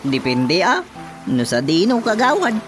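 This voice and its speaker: A man's voice: sits high, wildly swooping pitch, a little slow, exaggerated voice. An agreeable village watchman with an Ilocano accent. He chats along in a comic radio drama style, with some music behind his voice. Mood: agreeable, chatty. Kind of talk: Filipino radio drama comedy acting.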